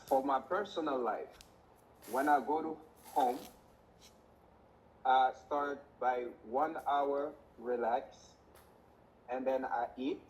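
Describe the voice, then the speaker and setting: A man's voice: medium pitch, fairly even intonation, slow pace, pauses often. A man answering an interview as a kitchen worker, laying things out in simple step-by-step sentences. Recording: dull lo-fi sound, faint noise.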